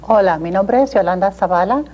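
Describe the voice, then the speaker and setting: A woman's voice: medium pitch, quick pace, darkish tone, muffled recording. A woman from El Paso, Texas, giving a brief self-introduction at a presentation. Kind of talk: recorded panel presentation.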